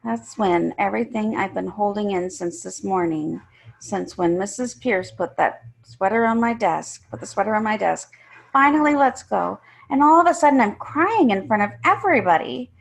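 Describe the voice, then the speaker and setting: Woman reading a kids story out loud, raspy n creaky, really expressive. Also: mid-range voice, moderate pace, low-quality recording. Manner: emphatic, acts out the characters. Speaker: reader of a children's story. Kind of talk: expressive read-aloud of a first-person story.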